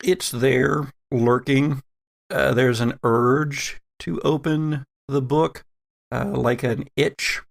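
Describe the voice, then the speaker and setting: Slow, gravelly male game master. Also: mid-range voice, fairly even intonation. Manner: deliberate, dramatic pauses. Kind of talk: atmospheric tabletop horror narration.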